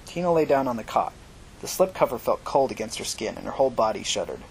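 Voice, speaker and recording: A man's voice: sing-song, quick pace, creaky. A man narrating dramatic fiction as an audiobook reader. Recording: noisy recording with low rumble.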